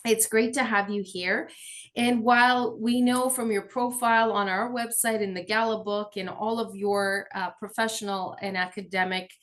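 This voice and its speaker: A woman's voice: medium pitch, steady tone, normal speed, a bit bright. A woman hosting a podcast, introducing her guest and asking questions. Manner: scripted introduction, then conversational. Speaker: podcast host. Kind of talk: podcast interview.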